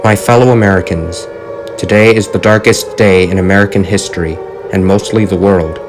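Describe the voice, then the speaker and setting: A man playing a solemn, resigned President giving a scripted doomsday address in a grave, measured manner. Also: deep voice, steady tone, over music, lightly acted.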